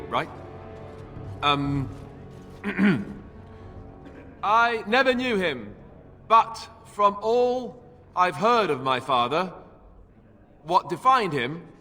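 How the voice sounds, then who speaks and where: Casting: wry, reluctant young fantasy-game prince, self-doubting, swinging lively inflection, somewhat high bright voice. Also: male voice, exaggerated voice. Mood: nervous resolve. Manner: hesitant, self-deprecating, wry. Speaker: actor in a fantasy role-playing game. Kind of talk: dramatic game cutscene dialogue. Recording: long hall reverb, music underneath.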